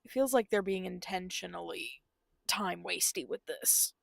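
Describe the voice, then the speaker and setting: Low, slightly creaky woman game streamer. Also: normal speed, dry recording, studio quality. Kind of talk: casual live playthrough commentary.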